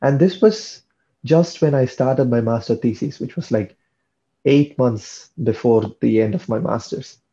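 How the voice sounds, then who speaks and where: A man's voice: medium pitch, a little slow, dark tone, highs cut off. A young man from India, chatting in an interview about his studies, often repeating words as he thinks. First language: Tamil. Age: young adult. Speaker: guest, PhD student. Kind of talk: casual interview chat.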